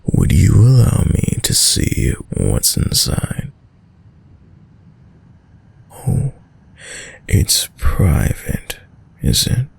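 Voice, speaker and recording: A man playing a dominant, sadistic vampire lord in an intimate audio roleplay, speaking in a deep, breathy, gravelly voice with courtly, teasing menace. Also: wildly swooping pitch, darkish tone, exaggerated voice. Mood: insistent, seductive. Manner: slow, silky, formal, teasing menace with drawn-out pauses.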